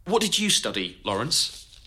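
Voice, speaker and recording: An actor playing a curious, sympathetic oral-history interviewer, asking questions in a conversational way. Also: male voice, medium pitch, very animated, measured pace, pushed and bright, full character voice.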